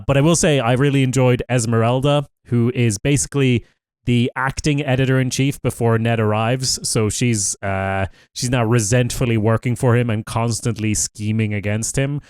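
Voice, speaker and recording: A man with a smooth, clear voice talks through a TV review in a relaxed, chatty way, pausing to think aloud with fillers. The sound is close and dry, with no room behind it. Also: mid-range voice, no room sound. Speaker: podcast co-host. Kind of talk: casual TV review chat.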